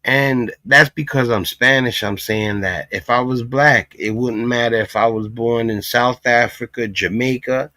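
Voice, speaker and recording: A man's voice: medium pitch, fairly even intonation, measured pace, few pauses, bright, forceful tone, dry recording. A man from Puerto Rico hosting a casual reaction livestream, talking emphatically with frequent asides. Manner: emphatic, talks in repeated asides. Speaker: livestream commentary host.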